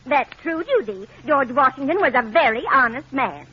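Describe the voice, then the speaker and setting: A woman's voice: sits high, expressive, moderate pace, husky. A woman playing a prim, patient aunt in a 1940s radio comedy, speaking properly with an American accent. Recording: muffled old-time radio recording.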